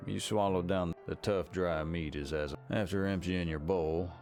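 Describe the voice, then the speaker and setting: A man's voice: low-pitched, fairly even intonation, unhurried, a little mellow, faint music behind. A man performing scripted second-person narration as the storyteller of a Wild West mystery game. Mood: measured.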